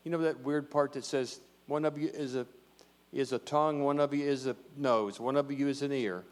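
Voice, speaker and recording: A man's voice: medium pitch, fairly even intonation, normal speed. A man, a church elder giving a short, plainspoken, joking sermon into a handheld microphone. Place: church sanctuary. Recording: long reverb of a church hall.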